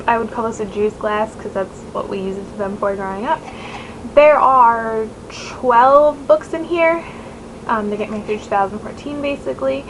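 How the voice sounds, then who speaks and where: A nasal-voiced woman in her twenties, chatting casually to camera as a book vlogger, rambling with many fillers. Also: a little high. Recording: noisy home recording.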